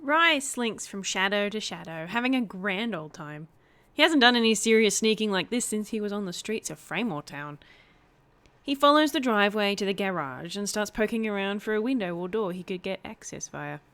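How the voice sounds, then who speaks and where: A woman narrating a role-play story aloud as one of its players, in an expressive style. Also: mid-range voice, moderate pace.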